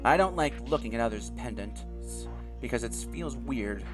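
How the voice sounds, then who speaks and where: A host narrates a visual novel with music behind. The delivery is dramatic and very lively in pitch, moving between expressive read-aloud and commentary. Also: normal speed. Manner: dramatic, animated reading. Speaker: video host and reader.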